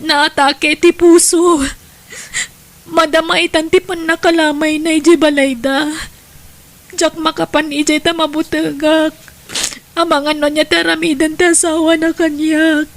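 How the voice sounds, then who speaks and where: A woman's voice: sits high, level tone, a little slow. A woman with an Ilocano Filipino accent playing a distressed, wronged wife and mother in an audio drama, plaintive and emotional. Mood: grieving.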